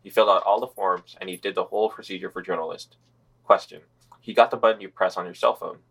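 Man, podcast co-host, very low voice, gravelly fry, laid-back and sardonic. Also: steady tone, fast talker, fairly bright tone, poor audio. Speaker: podcast co-host reading news. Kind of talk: casual news roundup with read-out quotes.

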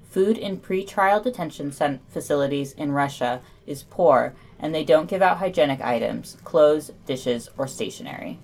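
Female podcast co-host, low-pitched, reading news summaries from a script with casual asides. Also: normal speed, few pauses, a little mellow.

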